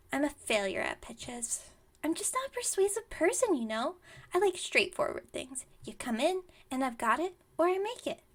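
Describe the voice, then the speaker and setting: Woman as scatterbrained young witch running a shop: high voice, theatrical salesmanship, chatty rambling, ASMR roleplay. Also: big pitch swings, a little slow, heavily acted. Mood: deflated, earnest. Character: flustered, dramatic, scatterbrained young female witch shopkeeper.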